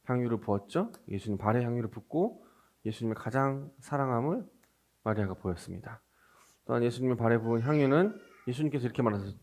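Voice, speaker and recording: A man's voice: fairly low pitch, darkish tone. A man preaching as a pastor, explaining scripture in a conversational way and putting questions to his listeners. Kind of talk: Sunday sermon with scripture reading.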